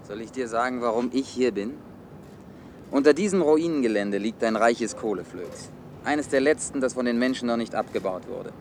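An actor playing a cynical, hard-headed man in a German science-fiction radio play, blunt and deliberate as he explains. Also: medium pitch, animated, slight background noise, close to an everyday voice. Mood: cold, explanatory. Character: cynical, hard-headed male official defending his orderly world.

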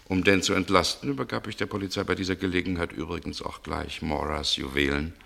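A male actor playing a shrewd, cool man in a German radio mystery, speaking in a gravelly, deliberate, insinuating way. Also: sits low, steady tone, bright, forceful tone, exaggerated voice. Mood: accusing, controlled. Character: shrewd, cool man coolly interrogating a murder suspect.

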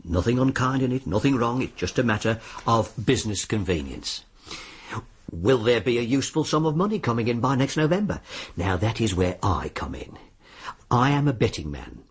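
An actor playing a suave, sly disbarred lawyer in a radio drama, with a British accent and an insinuating, politely formal way of talking. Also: male voice, medium pitch, sing-song, moderate pace, breathy edge. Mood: businesslike, sly. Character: suave, sly disbarred lawyer arranging sinister bets on deaths.

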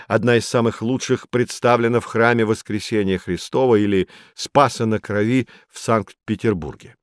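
A man playing a patient, earnest guide who explains things to children with deliberate emphasis in a scripted educational audio drama. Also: lowish voice, fairly even intonation, dry recording, clean studio sound, lightly acted. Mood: explaining, patient.

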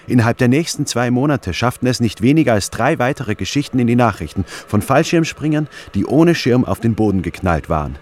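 A man's voice: mid-range voice, lively intonation, brisk, hardly any pauses, a little mellow, close to an everyday voice. An actor playing a brooding, analytical man narrating his obsessive research in a radio drama. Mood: obsessive, matter-of-fact. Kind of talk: scripted first-person radio drama narration.

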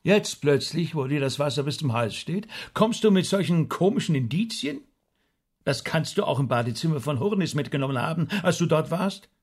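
Blunt doubting rabbi in a radio play, bit high and husky, no-nonsense. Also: male voice, lively intonation, normal speed. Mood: exasperated, reproachful. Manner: blunt, sceptical. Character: sceptical, blunt rabbi and confidant. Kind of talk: radio drama acting.

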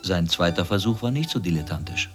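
An actor playing a cynical, menacing East German secret police officer in a radio play, speaking in a sardonic, deliberate, falsely modest way. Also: male voice, low-pitched, sing-song, music in the background. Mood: cold, insinuating.

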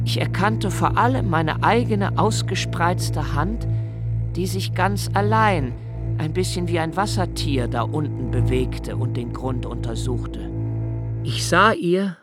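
Introspective, haunted actor as a man, higher-pitched voice. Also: close to an everyday voice. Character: introspective haunted man recalling a frightening childhood experience. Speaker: radio drama narrator. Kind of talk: literary first-person radio play narration.

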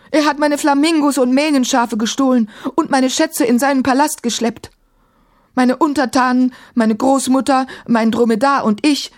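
A proud young caliph out of a storybook, voiced by a woman, speaks with imperious emphasis. His pitch is lifted well above plain narration, and his scornful manner is that of someone used to commanding. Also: a little mellow, no echo, studio quality.

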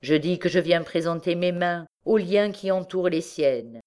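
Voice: medium pitch, normal speed, darkish tone, lightly acted. A narrator voicing a resolute young man who speaks solemnly and emphatically in a dramatic storybook reading. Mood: solemn resolve. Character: resolute, grave young man confessing to a crime.

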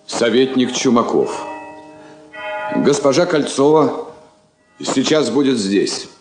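A man's voice: medium pitch, lively intonation, slow pace, soft background music. A man playing a polite, slightly awkward Soviet embassy counsellor in a radio drama, formal, courteous and a little hesitant. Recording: roomy, echoing studio sound.

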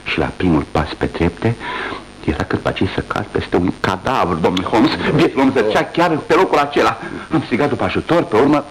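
A man's voice: mid-range voice, lively intonation, a little hiss or hum, natural voice, low-quality recording. An actor playing a flustered, shaken male journalist who stammers, in a 1980s radio drama.

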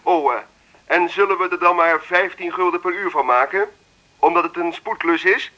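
Bright-voiced, businesslike artist, Netherlands Dutch. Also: male voice, high-pitched, normal speed, dull audio. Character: businesslike male artist phoning to hire a translator. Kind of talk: radio drama acting.

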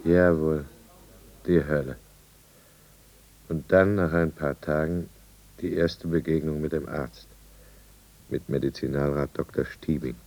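Casting: anxious, evasive alcoholic businessman, middle-aged, low voice, careful diction, 1950s radio drama. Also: fairly even intonation, a little slow, long pauses, darkish tone. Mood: brooding, reflective. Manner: careful diction, hesitant and self-justifying. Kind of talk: 1950s German radio drama acting and narration.

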